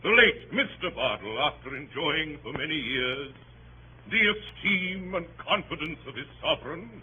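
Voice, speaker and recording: An actor playing a pompous, grandiose barrister making an oratorical speech in a 1930s radio drama. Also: male voice, a little high, animated, moderate pace, natural voice. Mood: theatrical, mournful. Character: grandiose, bombastic male barrister addressing a jury. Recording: noisy, dull 1930s radio recording.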